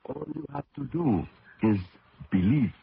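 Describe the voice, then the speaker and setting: An actor playing an old, superstitious Cajun race mechanic in a radio drama, solemn, with clipped broken grammar and a Cajun accent. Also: male voice, mid-range voice, expressive, a little slow, creaky, slightly rough, dry recording, heavily acted. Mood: solemn, protective. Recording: noisy, tinny old radio broadcast.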